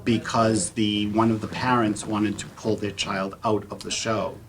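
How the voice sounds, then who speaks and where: A man speaking as a theater panelist, sharing personal anecdotes in a halting way, often repeating words. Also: lowish voice, little pitch movement. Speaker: panelist, community theater actor. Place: public panel event, live audience. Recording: clear background room noise.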